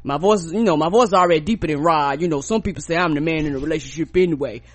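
A woman co-hosting a podcast, stuffed up with a cold, telling stories in a casual, profane, animated way. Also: low voice, racing, a little hiss or hum. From rural United States. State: congested from a cold. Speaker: podcast co-host.

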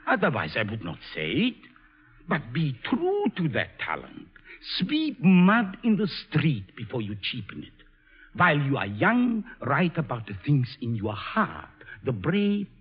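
An actor playing an earnest, kindly, awkward professor with a German accent, halting and formal but fervent, in 1940s radio drama style. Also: male voice, on the high side, husky, exaggerated voice. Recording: tinny old 1940s radio broadcast.

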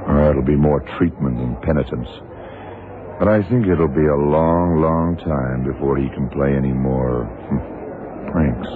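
An extremely deep-voiced detective speaks with clipped, cool authority. He sounds shrewd and deliberate, in radio drama style, on a thin old broadcast recording with heavy noise. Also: narrow, tinny audio. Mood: grave, measured. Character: blind male detective, shrewd, cool, commanding. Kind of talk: 1970s radio drama acting.

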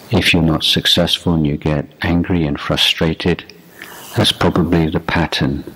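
A man guiding meditation as a teacher, speaking in a measured, deliberate way with long pauses between short phrases. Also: very deep voice, fairly bright tone, poor audio. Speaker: meditation teacher, Buddhist monk. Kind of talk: guided meditation instruction, unscripted dharma talk.

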